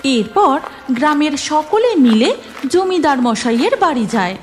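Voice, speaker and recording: A woman narrating a cartoon folk tale in an expressive, scripted storytelling style. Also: sits high, unhurried, faint music behind.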